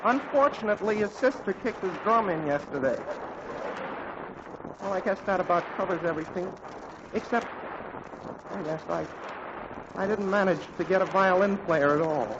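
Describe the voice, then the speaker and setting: A sheepish, high-voiced teen bandleader. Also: male voice, normal speed, long pauses. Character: sheepish teenage boy bandleader. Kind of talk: 1940s radio comedy acting. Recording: muffled old radio broadcast with hiss.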